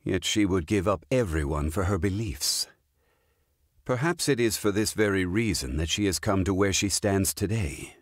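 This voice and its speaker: A stately ancient god speaks in a very low, breathy-edged voice. The delivery is slow and formal, recorded close and dry for a game. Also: male voice, dry recording. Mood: solemn. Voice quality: deep, measured, husky. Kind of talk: game character voice line.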